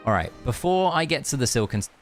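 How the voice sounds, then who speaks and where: A man's voice: mid-range voice, sing-song, fast talker. A man chatting casually on a game stream, rambling with joking asides and reading bits of story dialogue. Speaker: live streamer. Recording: music playing behind the voice. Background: game music.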